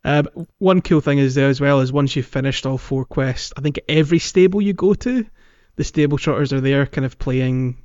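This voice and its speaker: The slightly dull recording carries a man's voice of ordinary pitch. He talks as a podcast host, casually retelling a video game and feeling his way haltingly through each sentence. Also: a little mellow, clear, resonant tone.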